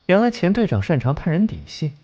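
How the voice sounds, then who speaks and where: An actor voicing a cold, aloof genius detective in an animated drama, lecturing with mocking detachment. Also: male voice, high voice, fairly quick, lo-fi sound, very noisy. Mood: smug, probing. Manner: mocking, lecturing, coolly rational. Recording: music behind the voice.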